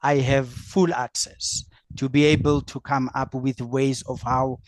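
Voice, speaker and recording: A middle-aged man from South Africa with a South African accent whose first language is Afrikaans, answering as a business coach on an online panel, halting with fillers and restarts. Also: medium pitch, flat delivery, no room sound. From South Africa, Free State. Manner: halting, many fillers and restarts, laughs easily.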